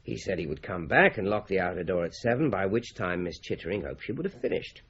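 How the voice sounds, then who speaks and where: Shrewd british inspector, husky, pitch moves about a lot, authoritative, radio play. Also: male voice, lively intonation, a bit bright, heavily acted. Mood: thoughtful, dogged. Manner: brisk, authoritative, ruminative. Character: shrewd, methodical male British police inspector. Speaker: police inspector. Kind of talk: radio drama acting. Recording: slightly dull radio drama recording.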